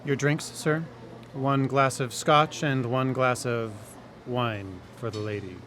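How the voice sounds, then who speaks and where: A man's voice: mid-range voice, steady tone, slow pace, fairly bright tone, slight background noise. A man playing a formal, condescending waiter in a radio drama. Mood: dryly snide. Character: formal, snooty male waiter with sly contempt.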